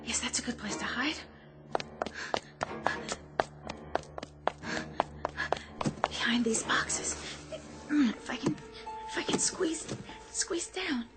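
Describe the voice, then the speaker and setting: A woman's voice: high-pitched, expressive, a little slow, whispered. An actress playing a frightened young woman trapped alone, stammering breathlessly and pleading in a radio drama. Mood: panicked whispering. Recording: radio drama with clear music underneath. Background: dramatic music.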